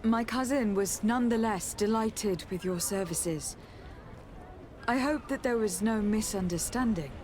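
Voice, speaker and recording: An actress playing a self-assured, diplomatic young noblewoman in a video game, polite, measured and lightly teasing. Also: mid-range voice, a little mellow, a little hiss or hum, close to an everyday voice. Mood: polite concern. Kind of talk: dramatic video game acting. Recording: clear music behind the voice.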